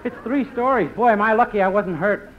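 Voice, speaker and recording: A man plays a cheerfully dim, naive young man in a 1950s radio comedy. He has a very high voice and delivers absurd answers deadpan. The old recording sounds muffled. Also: brisk, a crowd in the background, noisy background, lightly acted. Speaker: show singer and comic foil.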